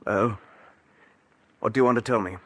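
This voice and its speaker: An actor playing a tense, anguished, guilt-ridden husband in a 1940s radio drama, with a husky voice. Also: male voice, lowish voice, fairly even intonation, moderate pace, a bit bright. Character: guilt-ridden, desperate husband, middle-aged man. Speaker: Philip, the husband. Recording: old 1940s radio broadcast recording.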